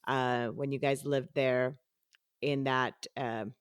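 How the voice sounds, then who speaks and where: A woman's voice: extremely low pitch, flat delivery, takes it slowly, clean tone, dry recording. Casting: middle-aged female podcast host, non-native English accent, encouraging and casual, dry close sound. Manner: encouraging, affirming listener. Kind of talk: casual conversational interviewing.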